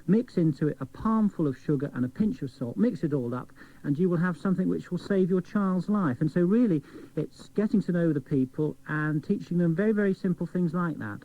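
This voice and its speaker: A man's voice: on the high side, a little fast, dark tone. A man, a doctor being interviewed, explaining things articulately and telling anecdotes. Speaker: doctor, studio guest. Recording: tinny band-limited old cassette radio recording.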